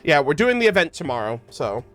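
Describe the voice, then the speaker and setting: A man's voice: on the high side, a bit bright. Man, casual live-stream commentary, slangy, music behind. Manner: slangy, informal. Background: game music.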